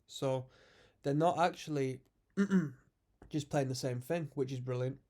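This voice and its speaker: A man's voice: medium pitch, slow, warm tone. A man with a stuffy cold, chatting casually as he reacts to a metal song and explains what the guitars and synths are doing. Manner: chatty, musing, explains music terms. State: congested from a cold. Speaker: music reaction host.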